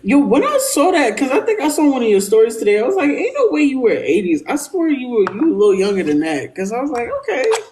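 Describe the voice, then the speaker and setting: A speaker in their early thirties hosting a casual live stream, animated, slangy and swearing freely. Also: mid-range voice, quick pace, hardly any pauses. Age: early 30s. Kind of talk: casual chat.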